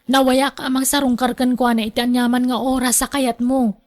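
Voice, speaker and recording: A woman's voice: sits high, flat delivery, fairly quick, dry recording, lightly acted. A woman acting a headstrong, carefree young single mother in an Ilocano radio drama, defiant and dismissive. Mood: defiant, unbothered.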